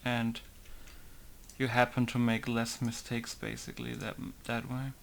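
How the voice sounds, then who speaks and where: A man talks slowly through a game modding tutorial, mumbling his asides with low-key humor. His voice has a gravelly fry to it. He is recorded close on a desktop mic at his computer. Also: monotone, slight background noise. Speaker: game modder. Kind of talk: casual screen-recorded tutorial commentary.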